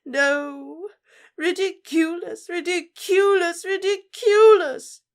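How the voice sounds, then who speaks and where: A woman voicing a distraught middle-aged motherly witch who sobs and stammers in a high, breaking voice. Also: fairly even intonation, takes it slowly. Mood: sobbing. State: crying.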